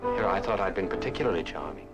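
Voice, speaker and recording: Quick, testy, conniving nephew. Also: male voice, low-pitched, lively intonation, highs cut off, loud music behind the voice. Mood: feigned innocence. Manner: curt, formal, irritable. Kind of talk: old-fashioned radio drama acting.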